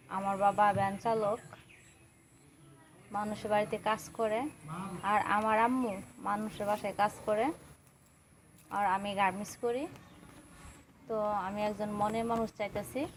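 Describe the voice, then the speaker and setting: A woman's voice: medium pitch, level tone, a little slow, pauses often, a little hiss or hum. A young woman from Kishoreganj with a Bangladeshi accent, speaking colloquially as a guest in a personal interview. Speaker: interview guest seeking a husband.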